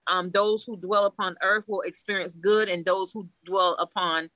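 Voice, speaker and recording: A woman's voice: on the low side, steady tone, moderate pace, bright, forceful tone, no room sound, low-quality recording. A woman from Haiti, a priestess, talking bluntly and animatedly in a casual conversation, laughing often and swearing freely. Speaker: Ifa priestess guest.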